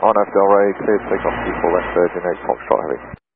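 Lowish, quick-talking male pilot on radio. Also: fairly even intonation, vocal fry. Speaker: airline pilot. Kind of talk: clipped radio readbacks. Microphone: cockpit radio. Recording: muffled aviation radio, faint static.

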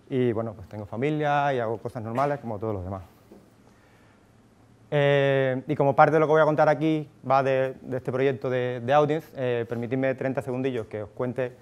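A man's voice: medium pitch, darkish tone, smooth, lo-fi sound. A man in his thirties from Cuba with a Cuban accent, giving a casual conference talk to a live audience on a handheld mic, full of fillers and restarts. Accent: Cuban Spanish. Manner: casual, thinks aloud with many fillers and restarts. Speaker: conference speaker. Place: conference room, audience present. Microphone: handheld microphone.

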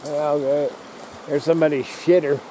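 A man's voice: on the high side, flat delivery, normal speed, warm tone, a little hiss or hum, poor audio. A man rambling casually as he films himself walking through mountain woods, often sidetracked. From Idaho. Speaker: outdoor vlogger. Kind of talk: casual running commentary while hiking. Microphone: handheld camera.

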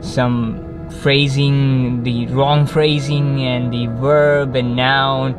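A man's voice: medium pitch, flat delivery, extremely slow. Young Malayali man rambling in a car. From Kerala, India. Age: young adult. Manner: rambling, frequent restarts and self-corrections. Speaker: vlogger. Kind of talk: unscripted casual talk to camera. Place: inside a parked car. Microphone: camera on the dashboard facing him. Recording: background music under the voice. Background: background music.

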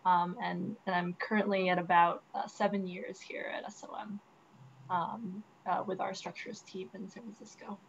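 Woman engineer, low and flat, soft halting speech, muffled hissy call. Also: creaky. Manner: soft, halting, many fillers. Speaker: panelist, structural engineer. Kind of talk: casual panel talk. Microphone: video call. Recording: dull audio, faint hiss.

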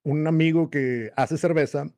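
A man's voice: a little high, fairly even intonation, moderate pace, darkish tone. Mexican man, 40s, chatty guest on a video call, filler-heavy storyteller. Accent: Mexican Spanish. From Monterrey, Mexico. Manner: rambling, with many "eh" and "este" fillers. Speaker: guest homebrewer. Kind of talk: storytelling interview answer. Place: home room. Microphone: desk mic on video call.

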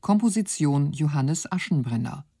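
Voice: medium pitch, lively intonation, normal speed, gravelly, warm tone, slightly rough. An announcer reading formal broadcast closing credits.

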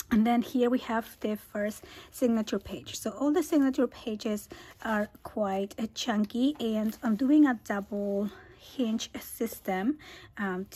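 A woman hosting a scrapbooking tutorial, hoarse and half-whispering as she loses her voice, chatty with small laughs as she walks through her album. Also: mid-range voice, dark tone. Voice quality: husky. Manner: casual, chatty, laughs between phrases. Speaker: scrapbooking channel host. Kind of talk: craft tutorial walkthrough. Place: indoors at a craft table.

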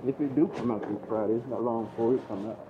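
A man vlogging casually from his motorcycle, thinking aloud in a relaxed, slow way. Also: mid-range voice. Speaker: motovlogger. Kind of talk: casual vlog commentary while riding. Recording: muffled with loud road and engine noise.

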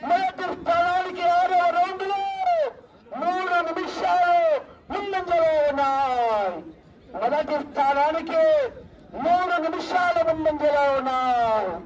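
A man commentates on a village race in an extremely high, exclamatory voice. He rouses people with long whoops and cries. The sound is distant and dull, as if over an outdoor loudspeaker. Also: a faint crowd, a little hiss or hum. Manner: exclamatory, rousing, drawn-out whoops. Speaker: announcer at a rural bull race. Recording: muffled outdoor PA sound from far off.

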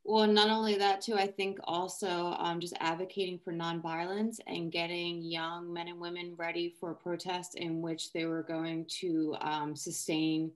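A woman's voice: on the low side, flat delivery, normal speed, hardly any pauses. A woman, talking casually in an online discussion. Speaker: discussion participant. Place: living room or home office, indoors. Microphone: video call computer mic.

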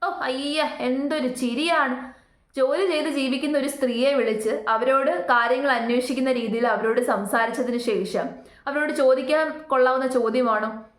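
A woman's voice: high-pitched, normal speed. A woman delivers opinionated commentary. She presses her points with repetition and rhetorical questions. The sound is roomy and echoing. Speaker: YouTube commentator.

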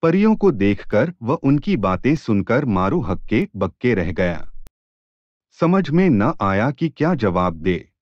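A man's voice: lowish voice, dry recording, highs cut off. A man narrating a children's story from a script, as a storyteller.

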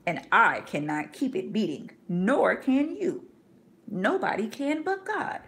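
A woman reading aloud and voicing a devout mother who speaks softly and earnestly to her little son. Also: a little high, wildly swooping pitch, slow pace, voice pushed up, full character voice. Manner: soft, earnest, coaxing.

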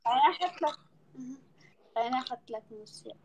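A woman's voice: sits high, lively intonation, fast, slight background noise. A young woman with a Levantine accent, chatting casually on a phone video call. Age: young adult. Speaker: video chat guest. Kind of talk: casual chat. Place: dark room indoors.

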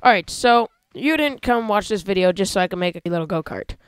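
A man hosting a casual gameplay video, talking through how he builds a vehicle, with excited yelps when he drives it. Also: sits high, a little fast, clean tone. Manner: chatty, explains while building, excited yelps when driving. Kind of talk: casual let's-play commentary.

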